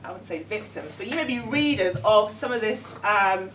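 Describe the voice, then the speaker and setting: A woman's voice: medium pitch, animated, moderate pace, a bit bright. A middle-aged woman with a London accent leading an educators' workshop, hesitant with many ums, friendly and self-deprecating. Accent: London English. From London, England. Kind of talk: conference workshop talk. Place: conference room with audience. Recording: echoey room, speaker far from mic, faint noise.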